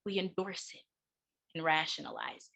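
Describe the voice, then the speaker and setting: A woman delivering a keynote speech in a deliberate, emphatic manner, breaking phrases with dramatic pauses. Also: low voice, vocal fry, fairly bright tone. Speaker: keynote speaker, counseling clinician.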